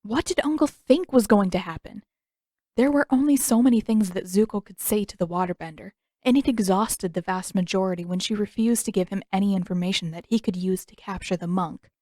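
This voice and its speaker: A woman's voice: mid-range voice, a little fast, mellow, no room sound. A woman reading scripted fiction expressively as a storyteller, close to the microphone. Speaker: podfic narrator and author. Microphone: close microphone, dry room.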